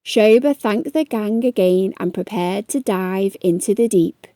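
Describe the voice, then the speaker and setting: Lady doing kids storytime, slow n mellow, asks the little ones questions. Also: medium pitch, no echo, studio quality. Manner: addresses young children with coaxing questions. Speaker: storytime host. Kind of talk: children's read-aloud narration.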